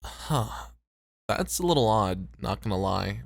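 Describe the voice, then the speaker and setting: A man playing a bashful, modest club host in an ASMR roleplay, speaking in a hesitant, low-key, casual way. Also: sing-song, a little slow, gravelly, no echo, studio quality, heavily acted. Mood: dry, easygoing.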